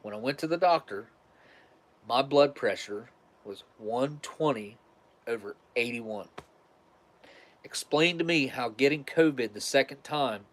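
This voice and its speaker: Stuffy-nosed man rambling casually. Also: mid-range voice. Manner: rambling, restarts often, conversational. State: stuffed-up nasal voice. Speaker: livestream host.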